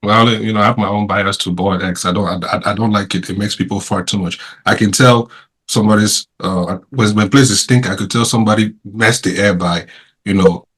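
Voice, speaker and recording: A man's voice: lowish voice, fairly even intonation, brisk, a bit bright, breathy edge. A man hosting a casual podcast panel, teasing his guests with playful questions. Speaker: podcast host.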